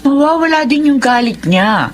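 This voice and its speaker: An actress playing a smooth-talking, scheming female recruiter in a radio drama, coaxing and persuasive. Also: high-pitched, expressive, moderate pace, slight background noise. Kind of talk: Tagalog radio drama acting.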